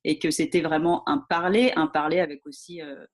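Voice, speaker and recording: A woman's voice: sits low, fairly even intonation, moderate pace. A woman in her thirties, a teacher, chatting in an interview with many hesitant fillers. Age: 30s. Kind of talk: casual conversational interview.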